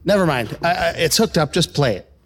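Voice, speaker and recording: A man's voice: on the high side, expressive, fairly quick, noisy background, lightly acted. An actor playing an eager, wry man caught up in a time-travel audio drama. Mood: eager, casual. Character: Jeff, wry man thrust through time, excitable, eager.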